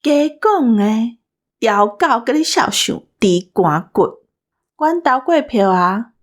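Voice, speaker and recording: Female co-host, Taiwanese accent, higher-pitched voice, bossy teasing banter. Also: big pitch swings, slow. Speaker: co-host of a radio drama cast special. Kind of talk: casual hosting banter.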